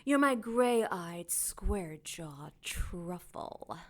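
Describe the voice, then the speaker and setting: A woman playing a seductive, menacing dark angel in an audio drama, with theatrical, purring, teasing delivery. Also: on the low side, expressive, drawn-out words, full character voice. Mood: seductive, taunting.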